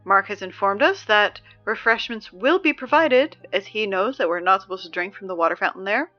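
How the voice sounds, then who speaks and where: A woman's voice: medium pitch, animated, a little fast, muffled recording, faint music behind, lightly acted. An actress playing a teenage girl who reads school announcements over the speakers, dry and exasperated. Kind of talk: audio drama reading school announcements.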